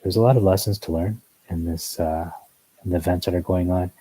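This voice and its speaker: A man's voice: low-pitched, fairly even intonation, fairly quick, mellow, breathy edge, no echo, poor audio. A man giving a rambling, earnest religious commentary monologue, full of fillers and asides. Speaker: newsletter commentator.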